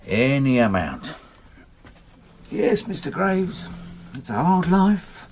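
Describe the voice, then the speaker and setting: An actor playing a wry, meticulous English manservant in a radio drama, speaking formally and precisely with dry, long-suffering patience. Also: male voice, mid-range voice, sing-song, takes it slowly, a little hiss or hum. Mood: wryly long-suffering. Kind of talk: British radio drama acting.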